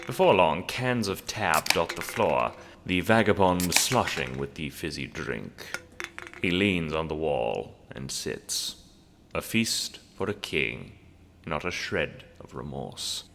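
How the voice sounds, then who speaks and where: Deep, gravelly man narrating with long reverb. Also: expressive, noisy background. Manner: theatrical, deliberate storytelling. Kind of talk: scripted audio drama narration.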